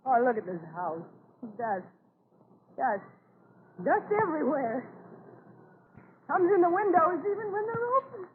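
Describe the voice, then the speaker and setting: A woman's voice: sits high, lively intonation, a little slow, pauses often. An actress playing a worn-down, despairing farmer's wife and mother in an old-time radio drama. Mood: near hysterical. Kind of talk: 1940s radio drama acting. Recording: scratchy tinny old radio recording.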